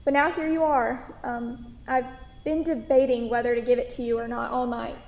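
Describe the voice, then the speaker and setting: A woman acts out a kind, hesitant waitress in a staged play reading. Her voice is high. The room is echoey, with long hall reverb. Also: fairly even intonation, normal speed, darkish tone, natural voice. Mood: hesitant, tender.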